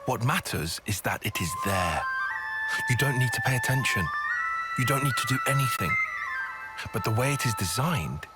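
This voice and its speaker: An actor playing a calm, analytical young intellectual soldier, explaining things coolly and articulately in a game cutscene. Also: male voice, medium pitch, moderate pace, pauses often, loud music behind the voice, natural voice. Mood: detached, explaining. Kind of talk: video game cutscene acting.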